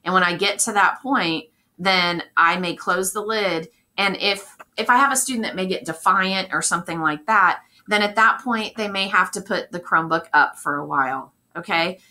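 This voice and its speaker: Hoarse woman giving a teacher training talk. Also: on the low side, normal speed, hardly any pauses, pushed and bright. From Indiana, US. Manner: chatty, checks in with "okay?". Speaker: district technology integration specialist. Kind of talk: recorded professional development talk.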